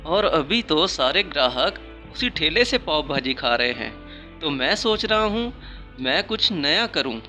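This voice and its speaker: A man's voice: a little high, sing-song, measured pace, pushed and bright, soft background music, full character voice. A man playing an earnest, plaintive husband who cooks for a living in a cartoon moral story. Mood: worried. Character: struggling husband, anxious but hopeful restaurant cook.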